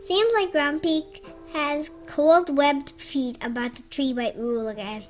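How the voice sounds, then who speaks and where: High-pitched bright storyteller, slow expressive picture-book reading, dramatic delivery for little kids, music behind. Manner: expressive, deliberate dramatic delivery for small children. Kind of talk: animated children's read-aloud.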